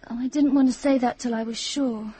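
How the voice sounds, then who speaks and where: An actress in a radio drama playing an intense, obsessive young woman lover, earnest and solemn, with a soft breathy voice. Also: fairly high pitch, steady tone, a little fast. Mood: ardent, earnest.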